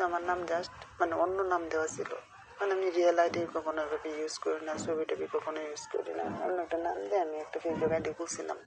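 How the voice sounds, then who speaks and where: Flat, creaky-voiced Bangladeshi woman. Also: fairly low pitch. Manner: rambling, often checking the listener follows. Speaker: caller telling a friend a personal story. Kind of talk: casual confiding phone chat. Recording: phone call recording, faint noise.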